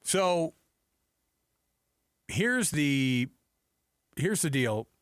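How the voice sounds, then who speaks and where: A man's voice: on the high side, big pitch swings, very slow, clear, resonant tone, no room sound, studio quality. An American man hosting sports talk radio, giving opinionated commentary in an emphatic, exasperated, conversational way. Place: radio studio.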